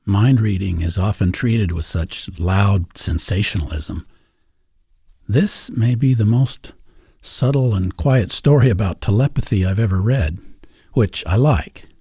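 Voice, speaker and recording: A man with a husky voice hosting a literary interview, reflective and unhurried. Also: lowish voice, animated, dark tone, dry recording, clean studio sound. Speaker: podcast host.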